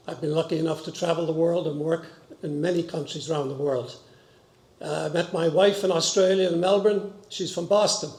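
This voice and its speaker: An elderly Irish man in his seventies gives a personal speech from a podium. His voice is fairly high for a man and carries a Dublin-born speaker's Irish accent. The hall around him is noticeably echoey. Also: a little mellow. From Dublin, Ireland. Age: 70s. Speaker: board candidate giving a speech. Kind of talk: personal introduction speech to an audience. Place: large meeting hall with stage, indoors. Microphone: podium gooseneck microphone.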